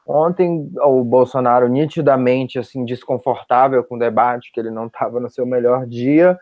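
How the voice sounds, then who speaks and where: A man's voice: medium pitch, steady tone, normal speed, no room sound. The sound is dull and lo-fi, with little room in it. A Brazilian man gives political commentary in a mid-range voice, as one of a panel. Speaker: journalist on a podcast panel.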